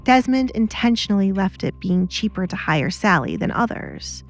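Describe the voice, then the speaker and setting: A woman's voice: mid-range voice, big pitch swings, moderate pace, faint music behind. A woman reading scripted story narration for an audio drama.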